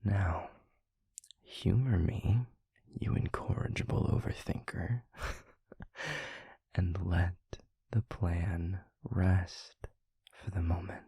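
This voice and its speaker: Man playing a low, whispery, gravelly demon lover. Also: fairly even intonation, no echo, exaggerated voice. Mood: teasing, amused, then tender, earnest. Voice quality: breathy, gravelly, hushed. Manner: slow, deliberate, soft, wordy romantic phrasing. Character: tender demon lover, weary captive, gently teasing. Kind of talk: intimate ASMR audio roleplay. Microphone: close microphone, dry.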